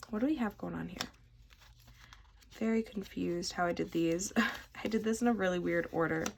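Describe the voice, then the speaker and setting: A young woman with a stuffy, congested voice talks through a casual vlog, rambling and poking fun at her own lack of organization. Her pitch sits in an ordinary middle range. A faint hiss of noise sits under the recording. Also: a bit bright. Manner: rambling, self-deprecating, chatty. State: stuffy nose. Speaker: vlogger. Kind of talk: casual chat while sorting cards.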